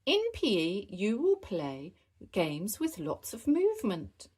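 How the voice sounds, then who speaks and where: A woman's voice: medium pitch, wildly swooping pitch, takes it slowly, a little mellow, husky, exaggerated voice. Casting: storybook gazelle teacher, woman performer; kindly, patient, encouraging, lots of melody in the delivery. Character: kindly adult female gazelle schoolteacher. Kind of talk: children's storybook character read aloud.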